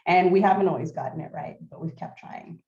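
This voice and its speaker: Hoarse woman w low voice, keeps clearing her throat, echoey muffled remote audio. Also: warm tone. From California. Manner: frequent throat clearing, measured. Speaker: institute president giving a welcome. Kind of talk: prepared welcome remarks, remote. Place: at home, remote video link.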